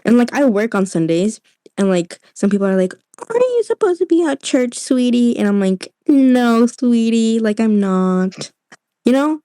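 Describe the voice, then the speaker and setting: A woman's voice: fairly high pitch, big pitch swings, moderate pace, warm tone, dry recording. A young woman from Utah whose first language is Spanish, chatting casually on a podcast and filling her speech with "like". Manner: fills speech with "like". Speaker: podcast co-host. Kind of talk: casual chat.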